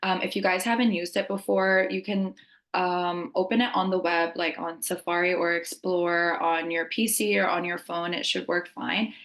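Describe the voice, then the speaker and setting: A woman's voice: fairly low pitch, little pitch movement, moderate pace, a bit bright. A young woman from Lebanon co-hosting a webinar over a video call, welcoming people and explaining the plan with a few filler ums. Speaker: webinar co-host. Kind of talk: friendly webinar presentation. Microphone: video call laptop mic.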